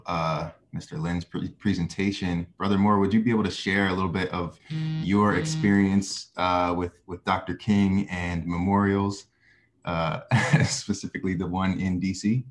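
A young man politely asking a question in an online discussion, speaking indoors on a video call. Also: deep voice.

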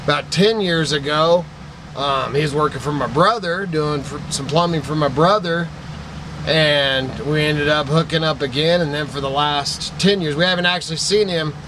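A man's voice: a little high, moderate pace, noisy background. A man in his forties from Idaho, rambling and sentimental, showing thrift finds to a desk webcam in a cluttered indoor shop. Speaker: vlogger, antique picker. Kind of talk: casual chat to camera.

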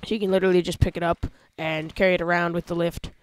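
A man hosting a casual gameplay video, talking through how he builds a vehicle, with excited yelps when he drives it. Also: high voice, fast talker, a little hiss or hum, low-quality recording. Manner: chatty, explains while building, excited yelps when driving. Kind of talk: casual let's-play commentary.

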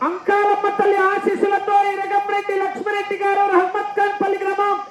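Really high voiced guy w calling a bull race, loud declamatory announcing and cries. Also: completely flat delivery, fairly quick, faint music behind. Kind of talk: live race commentary with announcements and rallying cries.

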